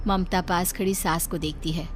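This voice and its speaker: A woman narrating a cartoon story in a steady, dramatic scripted style. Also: on the low side, moderate pace, slight background noise. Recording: faint background music.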